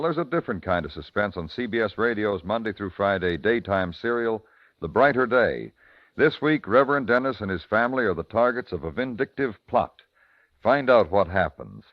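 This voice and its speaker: A man announcing scripted credits and promos in formal, measured 1950s radio style, on an old broadcast recording. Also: on the low side, no room sound, dull audio. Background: music.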